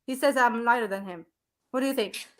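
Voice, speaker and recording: A woman's voice: on the high side, a little fast, fairly bright tone. A woman on a video call, chatting casually as a panelist and protesting with laughing denials. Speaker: panelist on a live call-in show. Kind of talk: casual group chat.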